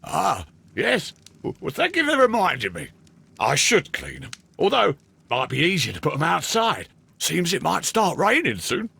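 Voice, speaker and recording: An actor playing a cheerful, rustic railway worker in a video game, husky and gravelly, with folksy, colloquial, rambling speech. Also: male voice, fairly high pitch, huge pitch swings, normal speed, pushed and bright, full character voice. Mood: sheepish. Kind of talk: video game character acting.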